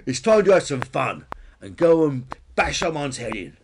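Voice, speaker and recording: A man voicing a brash, thuggish dinosaur in a children's story, boastful, blunt and loud. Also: on the high side, big pitch swings, normal speed, slight background noise, pitched above the performer's own voice. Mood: cocky. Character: brash bullying bonehead dinosaur, thuggish, boastful and thick-skulled.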